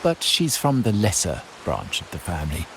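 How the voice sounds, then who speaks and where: Proud scheming mafia heir for a game, really low voice, swooping formal delivery, some hiss. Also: male voice, huge pitch swings, full character voice. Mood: authoritative, veiled threat. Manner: formal, measured, pompous. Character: proud, scheming male heir of a crime family. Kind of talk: video game voice acting.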